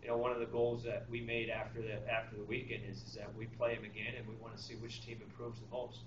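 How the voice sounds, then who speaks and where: Halting coach at a postgame presser. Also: male voice, medium pitch, monotone. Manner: halting, many fillers and restarts. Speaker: hockey coach. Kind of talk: postgame press conference answers. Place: small press room with draped table. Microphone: far camera. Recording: echoey room, far mic, dull lo-fi, noisy.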